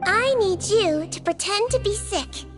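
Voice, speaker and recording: A woman voicing a gentle, sweet young nonhuman nurse in a video game, caring, unflappable and cheerfully matter-of-fact. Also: very high voice, expressive, unhurried, soft background music. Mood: playful, coaxing.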